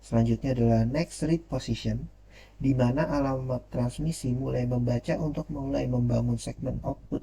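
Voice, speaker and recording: A man's voice: mid-range voice, mellow, a little hiss or hum, poor audio. A man giving a formal read-out lecture presentation, occasionally stumbling over words as he reads. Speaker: presenter reviewing a technical paper.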